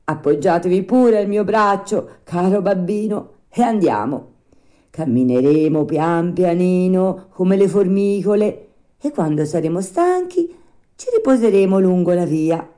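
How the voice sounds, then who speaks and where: A woman's voice: fairly low pitch, animated, a little slow, warm tone, pitched above the performer's own voice. A woman voicing an earnest, cheeky puppet boy in a storybook, with emphatic, sing-song delivery. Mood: caring.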